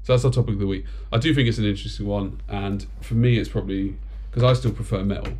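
A man's voice: lowish voice, fast. Man hosting a casual podcast. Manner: steady, even loudness by his own account. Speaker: podcast host. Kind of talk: casual chat.

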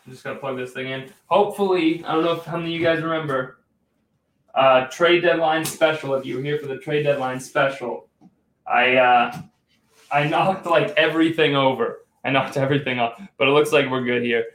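A young man hosting a casual live postgame sports stream, his voice going hoarse, rambling with lots of restarts as he reads out viewer comments. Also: mid-range voice, fairly even intonation, fairly quick, a bit bright, roomy sound, low-quality recording. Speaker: live postgame show host.

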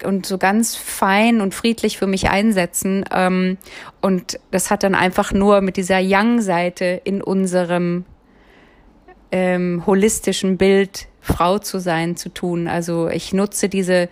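A woman's voice: medium pitch, a little mellow. A woman from Berlin with a light Berlin accent, talking casually and rambling in a personal vlog, with lots of filler sounds. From Berlin, Germany. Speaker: vlogger. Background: phone ringing.

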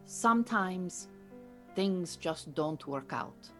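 An actress playing an earnest, proud Iranian refugee woman who mentors beekeepers in an audio drama, speaking in a measured, formal, precise way. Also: on the low side, expressive, a bit bright, faint music behind. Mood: calm, cautioning. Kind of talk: naturalistic audio drama acting.

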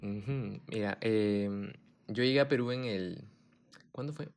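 A young man, a podcast guest chatting in a relaxed way with lots of fillers and restarts. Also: on the low side, a little slow. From Japan. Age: young adult. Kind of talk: casual chat.

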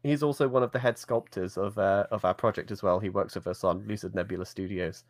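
A man's voice: lowish voice, steady tone, fast, no echo, clean studio sound. A man co-hosting a casual live-stream chat, easygoing with brief replies. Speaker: podcast co-host.

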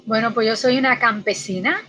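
A middle-aged woman from the Dominican Republic with a Dominican accent, telling her life story as an interview guest and pausing on many fillers and repeated words. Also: fairly high pitch, pushed and bright. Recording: dull lo-fi sound with faint noise.

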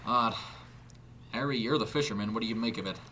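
A man's voice: fairly low pitch, fairly quick, sharp tone, slight background noise. A man voicing a curious, firm inspector with a dry, questioning manner in a narrated story.

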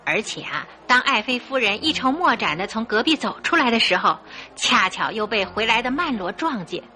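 An actress playing an agreeable, opinionated housekeeper in a radio drama, emphatically siding with the detective. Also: female voice, on the high side, big pitch swings, normal speed, bright, forceful tone, soft background music. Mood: agreeing.

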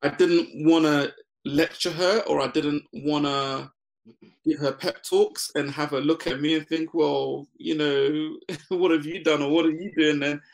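A man in his forties from Ghana, a business founder talking as a guest in a casual interview, relaxed, laughing often and using many fillers. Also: on the high side, unhurried, vocal fry, low-quality recording.

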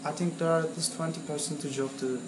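A young man from Turkey with a Turkish accent, answering interview questions in halting English as a non-native speaker. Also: on the high side, fairly even intonation. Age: young adult. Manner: halting, searching for words in English. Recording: echoey room with faint ambient noise.

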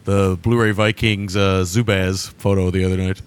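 A man's voice: low voice, little pitch movement, normal speed, clean tone. A man co-hosting a movie podcast in casual chat, easygoing and thinking aloud as he talks. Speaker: podcast co-host.

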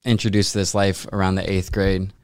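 A man's voice: deep voice, little pitch movement, fairly quick, smooth. A man hosting a podcast, asking his guest short prompting questions. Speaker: podcast host. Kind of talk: interviewing.

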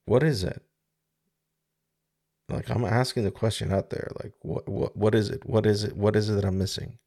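A man in his forties from the Dominican Republic, hosting a reflective solo podcast. He talks in a halting, searching way, with many pauses and restarts, into a studio microphone. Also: lowish voice, steady tone, creaky, dark tone. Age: 40s. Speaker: podcast host. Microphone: studio microphone with mixer.

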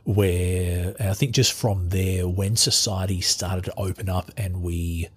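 Australian male host, very husky low voice, halting delivery with many restarts. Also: steady tone. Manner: halting, many restarts and fillers while searching for words. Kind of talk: casual conversational interview.

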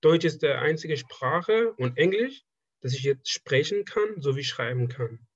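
A young man from Afghanistan with Persian as his first language answers questions in a relaxed, halting way, often restarting his phrases. His voice is fairly high and a bit husky. The sound is dry and slightly muffled. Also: dry recording. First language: Persian (Dari). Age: 20s. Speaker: interviewee, trained draftsman. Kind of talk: casual conversational interview.

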